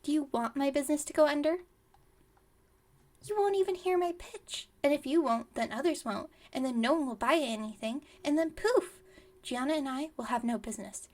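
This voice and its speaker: High-voiced, flustered witch shopkeeper, acted by a woman. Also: lively intonation, moderate pace. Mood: flustered, dramatic. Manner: theatrical sales pitch slipping into chatty rambling. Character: flustered, dramatic, scatterbrained young female witch shopkeeper. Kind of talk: ASMR roleplay acting.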